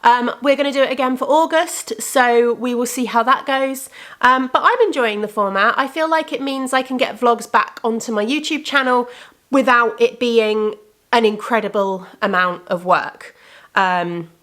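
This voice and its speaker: Bright-voiced woman, slightly congested. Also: on the high side, normal speed. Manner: chatty and rambling, with frequent self-corrections. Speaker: podcast host and indie yarn dyer. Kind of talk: casual chat to camera. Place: room with bookcases of yarn behind her.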